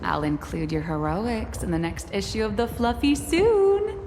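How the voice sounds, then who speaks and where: A woman's voice: medium pitch, wildly swooping pitch, measured pace, long reverb tail, background noise. An actress voicing a playful, teasing young female reporter in a video game. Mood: playful encouragement. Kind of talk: game voice acting.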